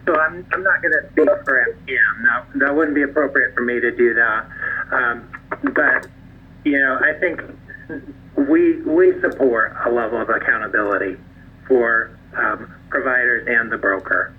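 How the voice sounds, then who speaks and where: A man leading a conference call, giving measured, diplomatic, halting explanations over the phone. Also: mid-range voice. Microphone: telephone conference line. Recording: tinny phone line, clear background noise.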